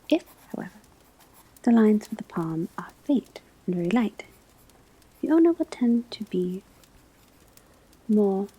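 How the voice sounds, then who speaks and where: Slow, whispering witch-school professor played by a woman. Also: mid-range voice, mellow, breathy edge, heavily acted, poor audio. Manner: whispery, measured, precise and formal. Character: patient, formal witch-school palmistry professor. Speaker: divination teacher in a roleplay. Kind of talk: ASMR classroom roleplay lecture.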